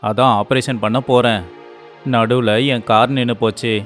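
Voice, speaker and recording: A man voicing a busy, authoritative doctor in a storybook tale, brisk in manner. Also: medium pitch, level tone, fairly bright tone, soft background music, close to an everyday voice. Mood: hurried.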